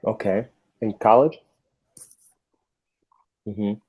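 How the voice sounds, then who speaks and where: A man's voice: lowish voice, drawn-out words, narrow, tinny audio, slight background noise. An American man working as an English tutor, chatting casually and patiently in an encouraging, informal way. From United States. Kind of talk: casual conversational lesson.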